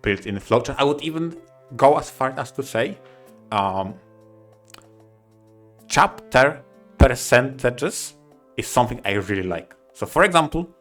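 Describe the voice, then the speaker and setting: Bright-voiced man, podcaster. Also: mid-range voice, animated, a little slow, long pauses, faint music behind. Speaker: podcast co-host. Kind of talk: casual podcast discussion of video games.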